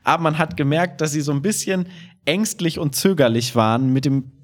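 A man's voice: on the high side, brisk. A man chatting casually as a podcast co-host, colloquial and opinionated in the way he talks. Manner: colloquial, opinionated, acts out short quotes. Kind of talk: casual podcast chat about children's theatre.

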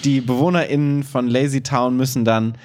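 A man with a medium-pitched voice talks casually as a podcast co-host. He phrases things loosely, with youthful slang and English borrowings. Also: fairly even intonation, normal speed, darkish tone. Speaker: podcast co-host, improv performer.

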